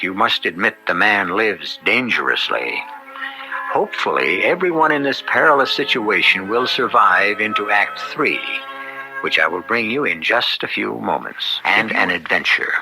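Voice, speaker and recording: Portentous, low-voiced man narrating over music. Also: poor audio. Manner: dramatic, deliberate, portentous. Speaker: host and narrator. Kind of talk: theatrical scripted narration for radio mystery drama. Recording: vintage radio broadcast with music underneath.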